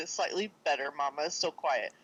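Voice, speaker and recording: A woman cohosting a podcast in playful, casual banter, with a naturally loud voice, talking from home into a desk microphone. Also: sits low, animated, a little slow, over a noisy crowd, low-quality recording.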